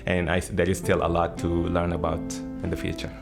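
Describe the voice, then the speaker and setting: Young sudanese guy, deep voice, casual student interview, music in the background. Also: completely flat delivery, brisk, creaky. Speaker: graduating PhD student.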